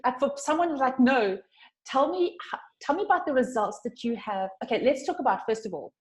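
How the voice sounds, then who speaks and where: A South African woman hosts a casual interview with a fairly high voice. She talks in a chatty, effusive way and puts emphasis on her points. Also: lively intonation, fairly quick. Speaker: interview host, branding coach.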